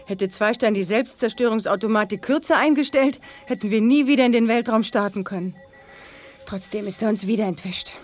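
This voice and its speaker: A woman's voice: on the high side, fairly quick, lightly acted. A woman playing a spirited, kindly young space adventurer in a children's sci-fi radio play. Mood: relieved.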